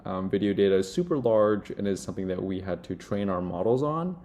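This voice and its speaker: A young man speaking casually and haltingly with many filled pauses, as in a product demo. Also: deep voice, expressive, warm tone. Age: young adult. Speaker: software demo presenter playing a job candidate.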